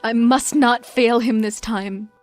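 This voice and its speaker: Woman playing a hushed, determined adventurer over music. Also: on the high side, fairly even intonation, slow pace. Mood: resolved. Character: determined young woman adventurer narrating her grim mission. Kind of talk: scripted mission briefing narration.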